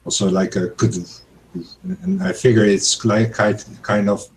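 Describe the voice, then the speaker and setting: A man's voice: on the low side, big pitch swings, a little slow, rough recording. A man in a group voice chat who speaks hesitantly, with many fillers. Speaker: chat participant. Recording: faint background noise.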